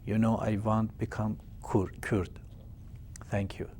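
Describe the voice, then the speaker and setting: Brief: Iranian man with a Persian accent, unhurried and earnest, plain simple phrasing. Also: fairly low pitch, level tone, a little mellow, breathy edge. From Iran. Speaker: healer guest. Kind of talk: halting address to viewers in broken English.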